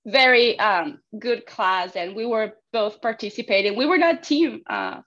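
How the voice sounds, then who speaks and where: A young Argentine woman with a Spanish accent speaks as an interview guest in a fairly high voice. Her English is halting, with non-native phrasing. The audio is somewhat dull and lo-fi. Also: very animated, fairly bright tone. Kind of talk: casual interview.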